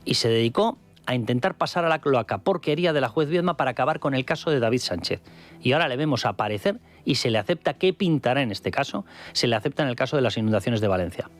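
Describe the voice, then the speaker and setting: A man's voice: mid-range voice, expressive, quick pace. A man from Spain delivering combative, sarcastic political commentary on a radio news show. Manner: combative, sarcastic, emphatic repetition.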